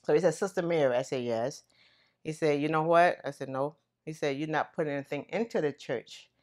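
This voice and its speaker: A woman in a lively, laughing interview, telling a story about her pastor. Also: deep voice, brisk. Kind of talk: interview reminiscence.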